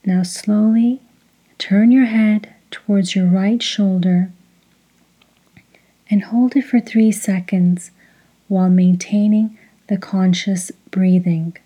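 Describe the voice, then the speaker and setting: Woman, guided meditation, unhurried, husky-soft, short phrases with pauses, deeply relaxed tone. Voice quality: soft, breathy. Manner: measured, instructional, pausing between short phrases. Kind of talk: slow guided meditation with recitation.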